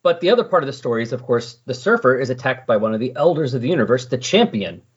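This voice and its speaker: Husky man chatting on muffled audio. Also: mid-range voice, big pitch swings, fast. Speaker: podcast guest. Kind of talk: casual comic book discussion.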